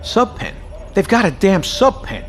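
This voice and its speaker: An actor playing a gruff, sarcastic, exasperated submarine captain in an audio drama, with a profane, sardonic way of talking. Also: male voice, high voice, wildly swooping pitch, a little slow, a little hiss or hum, heavily acted.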